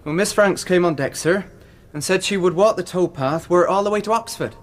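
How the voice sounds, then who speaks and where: Casting: male actor as young working lad on the witness stand, period radio drama, high-pitched, deferential, evasive. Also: brisk, lightly acted. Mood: guarded. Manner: respectful, evasive. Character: deferential young canal boat lad giving testimony.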